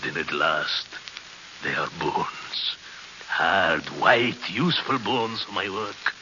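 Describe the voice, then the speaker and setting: An actor playing a gleefully macabre, crazed old hermit with a gravelly voice and a French accent, in theatrical old radio horror style. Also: male voice, deep voice, expressive, slow pace. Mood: eerie coaxing. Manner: theatrical, wheedling, erratic swings into shrieks. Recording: hissy, dull old radio recording.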